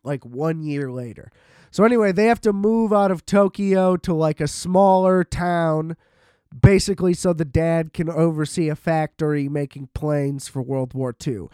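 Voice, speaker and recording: An American man with a slightly high voice, recorded dry and close. He rambles casually through a solo review, like a host talking off the cuff. His delivery is informal and sweary, and he hammers points home by repeating them. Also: measured pace, dark tone, dry recording. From United States. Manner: profane, informal, emphatic and repetitive. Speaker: host giving a movie review.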